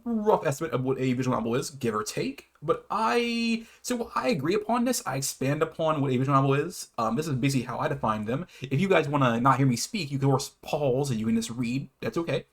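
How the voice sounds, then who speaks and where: A man with a mid-pitched voice presents an informal online explainer. He moves between reading prepared lines and talking off the cuff. His delivery is halting, with stumbles and self-corrections, and he tends to chuckle between phrases. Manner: hesitant, stumbles over words and self-corrects. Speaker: YouTube host presenting his own definitions. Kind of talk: informal explainer, half read, half ad-libbed.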